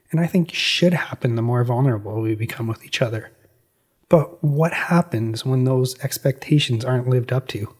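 Husky reflective male podcast host. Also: medium pitch, darkish tone. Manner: reflective, measured, personal. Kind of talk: scripted podcast narration.

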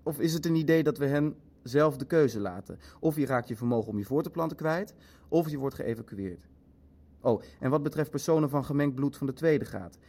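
A man's voice: medium pitch, animated, fairly quick, dark tone. Matter-of-fact male official. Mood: musing, matter-of-fact. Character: male Nazi official, pragmatic, coldly deliberating. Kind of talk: Dutch audio drama acting, naturalistic meeting dialogue.

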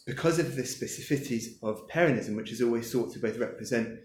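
A man's voice: mid-range voice, fast talker, a little hiss or hum. A man, the author at his book launch, answering audience questions hesitantly with many fillers as he thinks aloud. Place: lecture room with an audience. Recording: echoey room.

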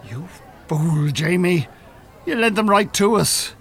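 A man's voice: high voice, expressive, slow, fairly bright tone, exaggerated voice. A man playing a pompous, evasive Irish hypnotist and con artist in an audio drama, speaking formally. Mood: angry.

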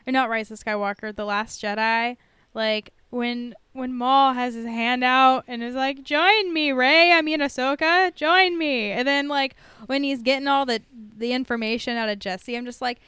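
A woman's voice: high voice, moderate pace, pushed and bright, clear, resonant tone, no echo. A woman co-hosting a casual podcast chat, speaking informally with swearing and plenty of filler "like". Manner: informal, profane, heavy use of "like". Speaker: podcast co-host. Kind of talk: casual chat reviewing a TV episode.

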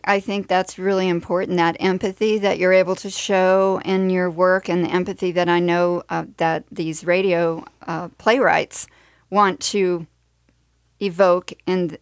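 A woman hosting a conversational radio interview, phrasing her questions in a measured, halting way. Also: fairly low pitch, steady tone, dry recording, clean studio sound. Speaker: radio show host.

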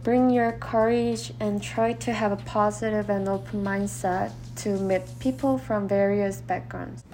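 A woman's voice: mid-range voice, steady tone, unhurried, a little mellow, faint music behind. A woman student who speaks English as a second language, giving brief, careful interview answers. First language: not English.

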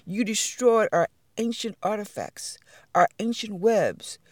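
A storyteller voicing calm, dignified spider elders in a hushed, low voice. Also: measured pace, dry recording, clean studio sound. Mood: solemn.

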